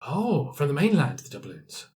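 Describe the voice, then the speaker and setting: A man's voice: a little high, wildly swooping pitch, moderate pace, darkish tone, slight background noise. A man voicing a fussy, eager, polite stable keeper who trades in dinosaurs, with a hesitant, stammering delivery in a tabletop game. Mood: flustered, delighted.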